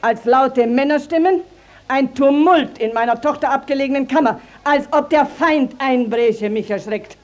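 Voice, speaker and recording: A woman's voice: high-pitched, normal speed, a little mellow, natural voice. An actress playing a proud, indignant middle-aged village mother in a radio play, telling her grievance emphatically and dramatically. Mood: outraged, dramatic. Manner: emphatic, declamatory, dramatic storytelling. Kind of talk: classic stage-style radio drama acting.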